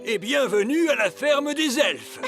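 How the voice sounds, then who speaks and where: A man's voice: extremely high pitch, animated, unhurried, loud music behind the voice, exaggerated voice. A man voicing a wise old elf farmer, welcoming and instructive, in a children's cartoon. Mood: kindly, instructive. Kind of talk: animated children's cartoon dub acting.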